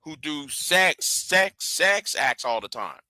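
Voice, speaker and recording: A man's voice: fairly high pitch, sing-song, takes it slowly, bright, forceful tone, no room sound. A man in his forties, a live stream host, rambling in casual commentary with lots of stammered repeats. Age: 40s.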